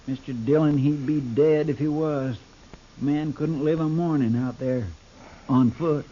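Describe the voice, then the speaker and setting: An actor playing a loyal, folksy frontier deputy with a rural American drawl in a 1950s radio western. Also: male voice, a little high, fairly even intonation, measured pace, warm tone. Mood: uneasy. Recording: muffled, faintly noisy vintage radio recording.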